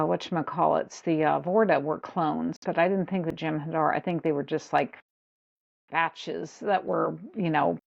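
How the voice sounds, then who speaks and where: Gravelly lady, lowish voice, lots of ums and uhs, kinda muffled lo-fi mic. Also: darkish tone. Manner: hesitant, searches for words with many fillers. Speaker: podcast co-host. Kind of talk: casual chat. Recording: dull lo-fi mic.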